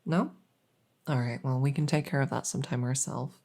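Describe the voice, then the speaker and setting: A woman playing a polite, hesitant, matter-of-fact night vet in an ASMR audio roleplay, with a creaky voice. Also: very deep voice, fairly even intonation, fairly quick, darkish tone. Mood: professional, reassuring. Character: soft-spoken, polite night vet receiving a supernatural patient.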